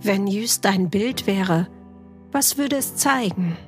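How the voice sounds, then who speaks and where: A woman's voice: mid-range voice, animated, slow pace. A woman reading short scripted questions in a podcast segment. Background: music.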